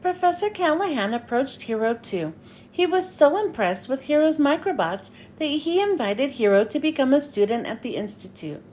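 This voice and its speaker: A woman reading a children's storybook aloud in an expressive story-time manner. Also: sits high, normal speed, slight background noise. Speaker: children's read-aloud host. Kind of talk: animated storybook narration.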